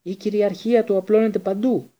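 A man's voice: high-pitched, big pitch swings, dark tone, muffled recording, voice pushed up, exaggerated voice. A man voicing a cowardly middle-aged traitor from a storybook, whimpering and pleading breathlessly. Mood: terrified whining. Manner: pleading, stammering repetition.

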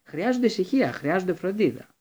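A man voicing a stern, bossy older woman nurse who insists on quiet for her patients. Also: sits high, very animated, highs cut off, voice pushed up. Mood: scolding. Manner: scolding, insistent.

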